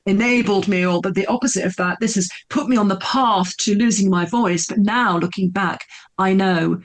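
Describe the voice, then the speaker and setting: A woman's voice: mid-range voice, normal speed. Middle-aged woman on a podcast, earnest, keeps repeating words for punch. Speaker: podcast guest, speaker and coach. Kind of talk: candid storytelling interview.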